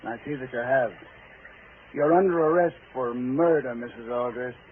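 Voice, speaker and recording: An actor playing a stern, matter-of-fact police officer in a 1940s radio drama, firm and accusing. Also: male voice, mid-range voice, normal speed, a loud crowd, close to an everyday voice. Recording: tinny 1940s radio recording.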